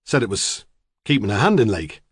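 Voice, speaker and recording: A man's voice: lowish voice, sing-song, a little fast, breathy edge, dry recording. A man voicing a squat, blunt older farmer who talks brusquely and impatiently. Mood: gruff.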